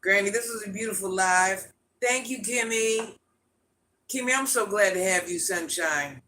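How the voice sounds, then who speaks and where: A man with an itchy, scratchy throat, hosting a livestream in a grandmother persona and chatting through viewer comments with quick laughs and asides. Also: high-pitched, sharp tone. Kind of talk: casual live chat answering viewer comments.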